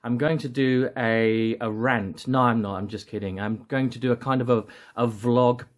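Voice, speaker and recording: A man's voice: mid-range voice, fairly even intonation, normal speed. Late-forties male vlogger, chatty and jokey, loose rambling delivery with many false starts. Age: 40s. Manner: rambling, jokey, often restarting sentences. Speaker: action figure seller and channel host. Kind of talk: casual vlog rant.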